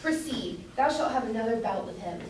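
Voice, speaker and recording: A woman's voice: fairly high pitch, lively intonation, a little fast, darkish tone, lightly acted. A woman playing a fussy, doting, meddlesome London citizen's wife in an amateur staged reading of a Jacobean comedy. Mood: fussing. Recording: distant echoey room, background hiss.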